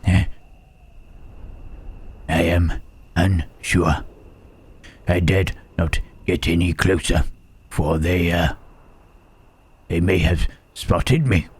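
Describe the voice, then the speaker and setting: Man acting wary gentle creature, very slow, low-pitched, hushed, halting, old-fashioned wording, intimate ASMR roleplay. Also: fairly even intonation, husky, exaggerated voice. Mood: wary, confiding. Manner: halting, formal archaic phrasing, questioning "huh".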